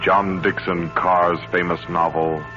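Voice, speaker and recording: A man announcing in a scripted 1940s radio style, with a formal, grand and measured delivery. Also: low-pitched, flat delivery. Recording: muffled old radio broadcast, loud music.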